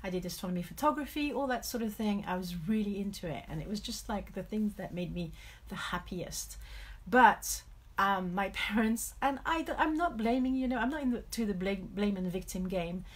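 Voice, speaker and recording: A middle-aged French woman speaking English with a French accent, giving a candid, reflective motivational talk with personal stories, often correcting herself, in a husky voice. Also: medium pitch, animated, moderate pace. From France. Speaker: motivational coach hosting a live stream.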